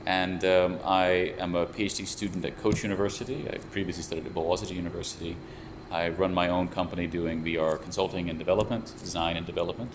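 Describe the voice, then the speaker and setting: Deep-voiced man, thoughtful. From United States. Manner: thoughtful, measured, with frequent restarts. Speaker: VR researcher and developer. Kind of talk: explanatory interview talk. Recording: steady air conditioner hum behind. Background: air conditioning hum.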